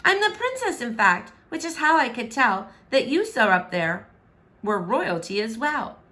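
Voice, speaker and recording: A woman's voice: fairly high pitch, sing-song, unhurried, pushed and bright, pitched above the performer's own voice, exaggerated voice. A woman voicing a stubborn, earnest young princess in a children's verse storybook, with theatrical, exclamatory delivery. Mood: polite.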